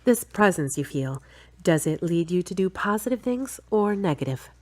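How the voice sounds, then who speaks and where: A woman playing a level-headed, gently teasing girlfriend in an audio drama. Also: fairly low pitch, animated, moderate pace. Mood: calm, probing.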